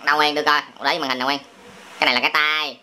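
A man's voice: on the high side, flat delivery, very fast. A man with a southern Vietnamese accent chats casually and playfully while busy with toys, teasing and often repeating short phrases. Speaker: father playing along on a family toy channel. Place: indoor room at home, by a table of toy bricks. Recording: noisy home camera audio, clicking plastic bricks.

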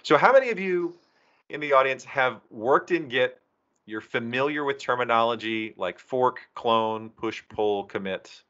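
A man's voice: mid-range voice, animated, unhurried, sharp tone. A man giving a technical presentation to a user group over an online video call. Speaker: presenter, documentation team member. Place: indoors, remote video call. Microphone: video call microphone. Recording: slightly dull video-call audio.

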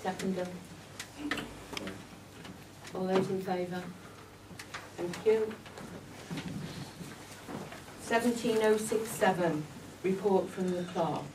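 Woman chairing a meeting, echoey hall. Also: on the low side. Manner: brisk, procedural. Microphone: far room mic. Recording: echoey hall, far mic, clear hiss.

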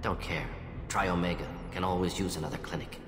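An actor playing a brisk, clinical older alien scientist in a video game, speaking in clipped, terse fragments. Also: male voice, low-pitched, fairly even intonation, close to an everyday voice. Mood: curt. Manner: clipped, telegraphic, terse phrasing. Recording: echoey hall, music behind.

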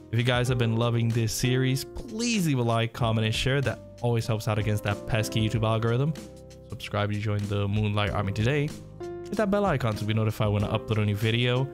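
A man hosting his audio channel, chatting casually and emphatically, often repeating words. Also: on the low side. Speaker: audio roleplay creator and host.

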